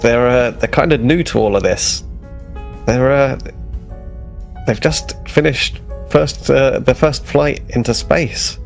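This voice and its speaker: A man's voice: medium pitch, slow, gravelly, soft background music. A man playing a naive, cheerful young starship ensign in a tabletop game, friendly, polite and a little hesitant. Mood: quietly proud.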